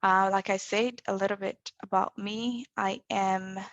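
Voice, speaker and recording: A woman in her thirties from Zambia, speaking on a panel in a relaxed, thoughtful way with many fillers. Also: mid-range voice, a little slow, no echo, muffled recording. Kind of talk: conversational talk.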